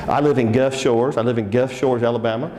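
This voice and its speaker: A man's voice: mid-range voice, fairly even intonation, fairly quick, a little mellow, big-room sound, slight background noise. A man with a Southern Texan accent giving an interactive business seminar, coaxing the audience to answer and cracking jokes. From Texas. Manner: upbeat, coaxing the audience, joking. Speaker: seminar speaker.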